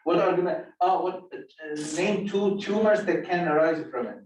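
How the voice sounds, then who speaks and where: A student chatting casually, laughing and flustered while recounting exam questions. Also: male voice, high voice, a little slow, slight background noise. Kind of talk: casual chat. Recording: echoey room, far from mic.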